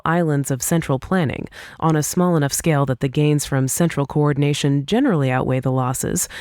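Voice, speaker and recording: A woman reads a scripted essay as an audiobook narrator, in a measured, clearly articulated way. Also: deep voice, darkish tone, no echo, clean studio sound. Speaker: audiobook reader.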